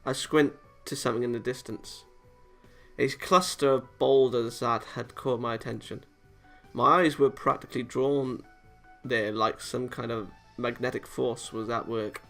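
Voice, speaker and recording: A man's voice: mid-range voice, fairly even intonation, measured pace, vocal fry, a bit bright, faint music behind. A man reading a visual novel aloud for a let's play, stumbling now and then and tossing in casual, sweary asides. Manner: stumbles over read lines, swears casually. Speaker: let's player.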